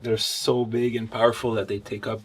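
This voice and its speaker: A man's voice: fairly low pitch, flat delivery, normal speed, slightly rough. Man explaining synths in a studio. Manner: technical, explanatory, while playing keyboards. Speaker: synth technician. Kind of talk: casual studio walkthrough. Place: wood-paneled recording studio, indoors.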